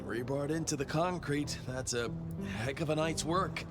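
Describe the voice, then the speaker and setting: An actor playing an easygoing street cop, casual, clipped and matter-of-fact, in a video game. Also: male voice, fairly high pitch, normal speed, over loud music, lightly acted. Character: easygoing male street cop briefing a detective. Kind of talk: video game dialogue acting.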